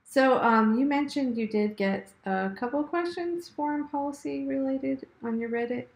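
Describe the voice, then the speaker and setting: A woman's voice: sits high, moderate pace, vocal fry, reverberant. A woman hosting an interview over a video call, conversational and affirming with frequent "mm-hmm"s. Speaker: interview host.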